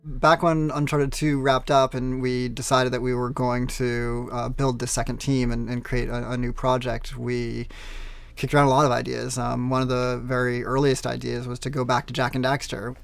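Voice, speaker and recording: A man speaking as a game studio executive in an interview, halting as he thinks out his words. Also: medium pitch, little pitch movement, gravelly, clear, resonant tone, dry recording, faint music behind. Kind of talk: interview talk.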